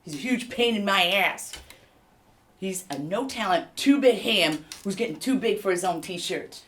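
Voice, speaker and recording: A woman's voice: mid-range voice, expressive, a little slow, a bit bright. A woman playing a jealous big bad wolf actor who complains sardonically in a comedy mock interview. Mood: bitter, sardonic.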